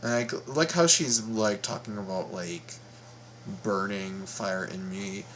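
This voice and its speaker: A man gives casual reaction commentary in a slow, fairly low voice. He stammers and repeats words as he goes, with faint noise in the background. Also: a bit bright, poor audio. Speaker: reaction video host.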